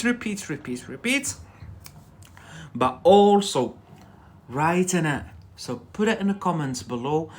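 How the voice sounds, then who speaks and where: A man with a Scottish accent runs a casual live English lesson at an ordinary middle pitch. He rambles, drills words over and over, and checks in with a questioning "yeah?" after nearly every sentence. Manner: chatty, repeats "yeah?" as a tag. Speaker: English teacher.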